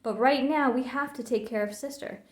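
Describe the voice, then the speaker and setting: A woman's voice: sits high, moderate pace, roomy sound, lightly acted. Woman as a firm, caring mama bear. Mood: concerned, firm. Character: concerned, firm, sensible mother bear.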